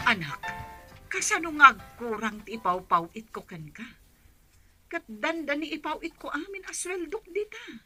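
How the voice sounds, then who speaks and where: A woman's voice: high voice, big pitch swings, moderate pace, sharp tone, soft background music, exaggerated voice. A woman acting a struggling, worried middle-aged mother in an Ilocano radio drama, insistent, with a Filipino accent. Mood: exasperated. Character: struggling mother working away, worried and exasperated.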